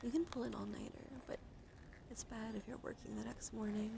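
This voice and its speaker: Creaky, soft-spoken woman doing ASMR. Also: a little high, fairly even intonation, brisk, background noise. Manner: soothing, drifting between whispers and soft speech; affectionate toward listeners. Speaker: live streamer hosting ASMR. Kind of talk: ASMR, soft intimate chat with live viewers.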